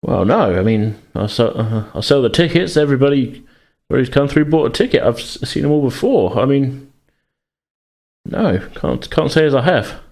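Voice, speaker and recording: A man's voice: medium pitch, sing-song, moderate pace, darkish tone, pitched above the performer's own voice. A man playing a flustered, indecisive theatre ticket seller who stammers and hesitates. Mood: bewildered, hesitant. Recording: music behind the voice.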